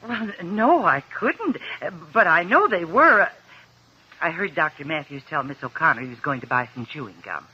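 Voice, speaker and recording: An actress with an American accent playing a nervous, earnest courtroom witness in a 1950s radio drama, hesitant and stammering. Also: female voice, low-pitched, expressive, normal speed, pushed and bright, husky, full character voice. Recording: muffled old 1950s radio recording.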